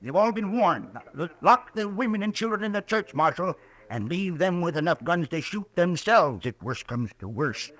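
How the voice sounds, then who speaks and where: A man's voice: sits high, animated, moderate pace, a bit bright. An actor playing a cranky, boastful old American frontiersman of about eighty in a radio western, rambling and stammering as he goes. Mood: cranky, insistent. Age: elderly character of about eighty. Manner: rambling, stammering, repeats himself.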